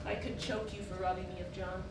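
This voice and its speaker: A woman's voice: medium pitch, flat delivery, a little fast, vocal fry, lightly acted. A woman playing the bitter, jealous, impulsive inner self of a society wife, blunt and unrestrained in a theatrical style. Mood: anguished, accusing. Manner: blunt, vehement, emotionally unrestrained. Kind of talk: theatrical stage acting. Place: indoor theatre stage, picked up from afar. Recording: roomy, distant stage recording with noise.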